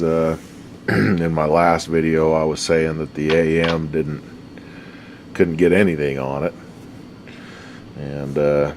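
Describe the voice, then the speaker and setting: Middle-aged guy with a really deep slightly hoarse voice, lots of uhs, noisy workshop with humming in the back. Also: a little mellow. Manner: halting, with many fillers. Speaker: radio repair hobbyist. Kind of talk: casual workbench chat.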